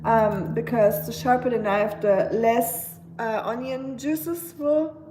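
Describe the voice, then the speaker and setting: A woman's voice: a little high, fairly even intonation, slow. A young woman from Germany with a German accent, chatting casually with live viewers while she cooks, joking in a dry, sarcastic way. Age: young adult. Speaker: cooking streamer. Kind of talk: casual live-stream chat while cooking. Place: home kitchen. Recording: knife chopping noise behind.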